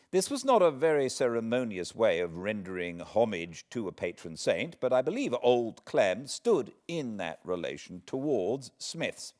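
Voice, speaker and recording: A man, reading a classic novel aloud in measured, expressive storytelling. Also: fairly low pitch. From Britain. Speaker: audiobook narrator. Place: cozy home spot beside a fireplace.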